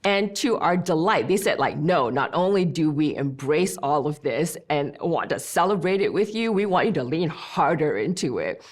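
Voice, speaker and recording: A woman's voice: deep voice, normal speed, few pauses. A middle-aged woman from Malaysia, a film director, talking animatedly in a seated studio interview. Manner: animated, gestures as she talks, easy conversational flow. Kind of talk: behind-the-scenes interview.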